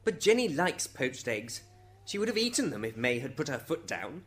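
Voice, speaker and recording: A man playing a self-justifying husband and father on the witness stand in a radio drama, defensive and quick to blame. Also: a little high, very animated, a bit bright. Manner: defensive, blaming.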